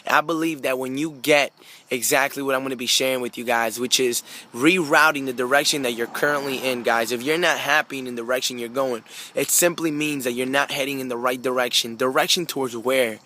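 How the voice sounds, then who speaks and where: Earnest man with a bright, forceful voice. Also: mid-range voice, fairly even intonation, fairly quick, slight background noise. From Peru, moved abroad at age five. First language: Spanish. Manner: earnest, repetitive, often addressing listeners directly. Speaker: motivational video speaker. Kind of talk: motivational talk to camera.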